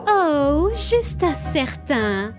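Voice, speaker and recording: A woman's voice: high voice, very animated, drawn-out words, over music, full character voice. A woman voicing an earnest, hopeful young demon princess in a cartoon fandub.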